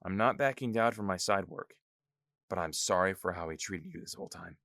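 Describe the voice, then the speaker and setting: A tired young former Ranger who speaks quietly and quickly, as a narrator puts him on. His voice sits low and sounds dry and close. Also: expressive, dry recording. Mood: apologetic.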